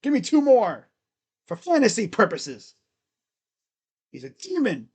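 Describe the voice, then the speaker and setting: A casual baseball play-by-play streamer with a naturally booming, loud delivery. The host is relaxed and talky, filling the gaps between pitches with chatter and idle humming. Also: unhurried, slightly rough. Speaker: live-stream baseball commentator. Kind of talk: casual live play-by-play reaction.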